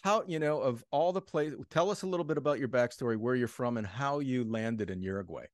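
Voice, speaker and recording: A man's voice: mid-range voice, fairly quick, no echo, clean studio sound. A man hosting a casual interview over a video call, asking questions in a friendly, encouraging way. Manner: friendly, short encouraging reactions. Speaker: host. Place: home room, indoors. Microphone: video call webcam.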